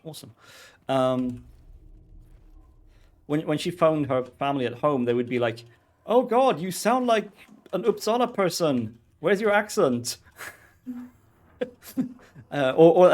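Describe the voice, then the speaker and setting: A man's voice: mid-range voice, a little mellow. A man with a Swedish accent, a teacher and game streamer chatting casually, rambling and hesitant with many ums and restarts.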